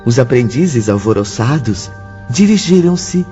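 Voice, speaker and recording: A man's voice: medium pitch, animated, a little slow, mellow. A man with a Brazilian accent narrating a scripted radio drama. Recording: muffled audio with music.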